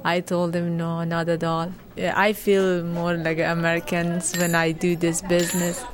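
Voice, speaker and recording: Middle-aged woman, halting English. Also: fairly low pitch, completely flat delivery. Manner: halting non-native English with pauses. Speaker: refugee shop owner. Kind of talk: documentary interview.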